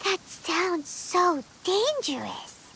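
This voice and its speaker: A woman's voice: very high voice, very animated, very slow, gravelly, background noise, heavily acted. A woman voicing a tiny, chirpy, curious fairy companion in a video game, speaking in exclamations. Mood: concerned. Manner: refers to herself in third person, exclamatory. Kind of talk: video game character acting. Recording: music behind the voice.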